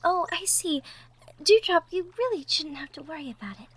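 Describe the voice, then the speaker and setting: Woman acting a shy, high-voiced cottagecore sweetheart. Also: lively intonation, moderate pace. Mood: encouraging, tender. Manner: hesitant, bashful, soft-spoken, trailing off. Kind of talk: roleplay audio drama, intimate one-sided conversation. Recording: faint background noise, birdsong effects. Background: birdsong, outdoor nature sound effects.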